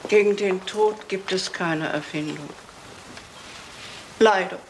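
A woman's voice: medium pitch, lightly acted. A woman playing a doleful, fussy spinster aunt in a German radio crime drama, speaking in a plaintive way. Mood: plaintive, mournful. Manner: slow, plaintive. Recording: clearly noisy old radio play recording.

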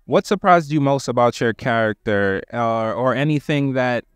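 A man's voice: medium pitch, animated, moderate pace, clear, resonant tone, dry recording, clean studio sound. Upbeat male red-carpet interviewer. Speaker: entertainment interviewer. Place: busy premiere red carpet, indoors. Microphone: handheld mic.

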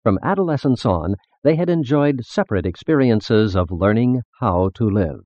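Male narrator, tinny narrow-band audio, lively intonation, formal religious reading. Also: mid-range voice, normal speed, no room sound. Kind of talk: scripted narration of a religious text. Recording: muffled phone-like band-limited audio.

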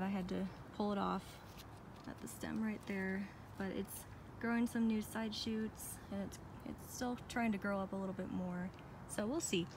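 A woman's voice: medium pitch, background noise. An American woman giving a casual, chatty and enthusiastic walk-through narration as a vlogger, recorded outdoors on a handheld phone. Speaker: vlogger giving a garden tour. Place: outdoors in a backyard garden. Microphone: handheld phone behind the camera.

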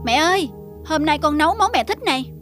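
A woman voicing an earnest, easily upset young married daughter-in-law in an animated moral tale. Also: high-pitched, fairly quick. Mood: cheerful. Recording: background music under the voice.

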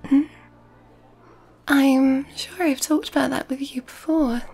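A woman playing a nurturing, gently dominant, affectionate girlfriend in an ASMR roleplay, in a soft breathy whisper. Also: sits high, fairly even intonation, slow, soft background music. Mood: gentle, thoughtful.

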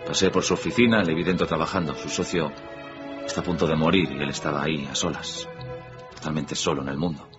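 An actor playing an affectionate husband chatting casually in a radio drama. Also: male voice, a bass voice, fairly even intonation, fast talker, lo-fi sound. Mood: casual, musing. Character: low-voiced husband, casual and affectionate, telling news. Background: music.

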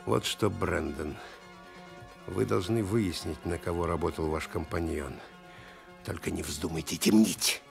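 An actor playing a stern, blunt police investigator in a radio drama, authoritative and threatening, with a gravelly voice. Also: male voice, deep voice, fairly even intonation, soft background music, heavily acted. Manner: slow, weighty, authoritative.

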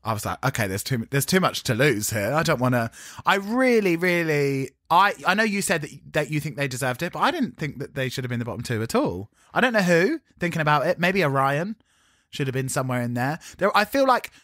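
A man's voice: a little high, fairly quick, no echo, studio quality. A man co-hosting a podcast, chatting casually and sarcastically with frequent swearing. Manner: animated, sarcastic, swears freely. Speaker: podcast co-host. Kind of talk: casual chat reviewing a TV show.

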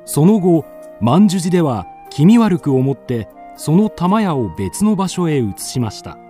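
A man's voice: on the high side, sing-song, a little slow, mellow, soft background music. A man narrating a scripted ghost story in a polite storytelling manner.

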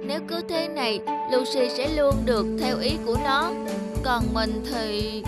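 A young grandson in a children's cartoon. The voice is high and earnest, an expressive, anxious boy, with clear music behind it. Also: a little slow. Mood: worried.

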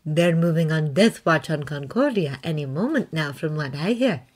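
A woman's voice: sits low, expressive, normal speed, a little mellow. A woman voicing a shrewd Mandalorian woman mercenary with a dry, knowing manner. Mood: matter-of-fact. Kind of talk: fan fiction audiobook reading.

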